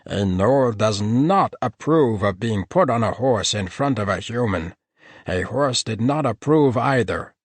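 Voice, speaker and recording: A man's voice: on the low side, expressive, measured pace, slightly rough, no room sound, pitched above the performer's own voice. A man voicing a blunt male gnoll warrior who speaks in clipped broken phrases and refers to himself in the third person. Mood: indignant. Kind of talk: audiobook character voice.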